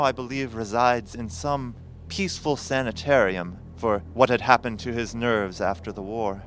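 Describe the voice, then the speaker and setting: A man's voice: mid-range voice, a bit bright, close to an everyday voice. Man playing a formal professor narrating over music. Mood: measured, foreboding. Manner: measured, literary, formal. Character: formal, grave male professor recounting a strange horror. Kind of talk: dramatic scripted narration, gothic horror audio drama.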